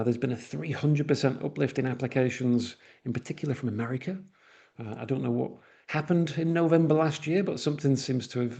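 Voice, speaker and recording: A man's voice: mid-range voice, gravelly, mellow. A man from northwest England with a Manchester accent, giving a webinar presentation with frequent uhs and restarts. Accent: Mancunian.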